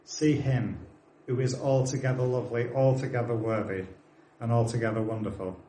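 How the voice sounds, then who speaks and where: A British man in his forties preaches to his congregation, earnest and exhorting, with a mid-pitched voice. He slips easily into friendly asides. The sound rings around a roomy church space. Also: fairly even intonation, normal speed, warm tone, slight background noise. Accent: British English. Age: 40s. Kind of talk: sermon.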